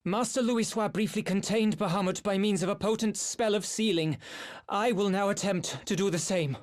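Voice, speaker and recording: A man's voice: sits high, moderate pace, lightly acted. A man playing a proud, wry scholarly mage in a video game cutscene, speaking in formal, archaic phrases. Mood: grave, composed.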